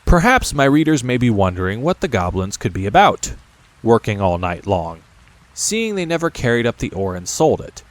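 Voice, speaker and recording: A man's voice: medium pitch, very animated, moderate pace. A man narrating a classic storybook as a scripted audiobook reading.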